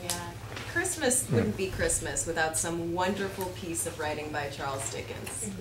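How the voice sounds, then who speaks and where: Female co-host for live stage banter, animated intonation, picked up from afar in an echoing room. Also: lowish voice, moderate pace. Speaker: co-host of a community holiday reading. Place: indoor community event before an audience. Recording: echoey room, distant mic, background noise.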